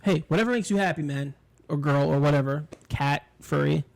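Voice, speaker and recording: A man's voice: on the high side, a little fast, gravelly. A man from New York co-hosting a podcast, talking in a rambling, opinionated way with swearing and restarts. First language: English. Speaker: podcast co-host. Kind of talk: casual chat.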